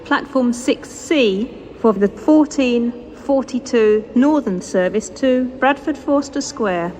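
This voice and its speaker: A British woman reading scripted railway announcements over a station loudspeaker, formal and measured, in segmented phrases. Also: fairly high pitch. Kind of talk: scripted public-address train announcements. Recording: noisy station PA recorded on location.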